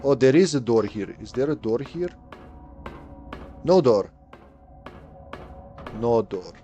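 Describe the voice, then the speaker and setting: A man's voice: medium pitch, expressive, slow pace, warm tone, lo-fi sound, soft background music. A man in his late thirties giving live commentary on a game, chatting, thinking aloud and answering chat. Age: late 30s. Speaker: streamer.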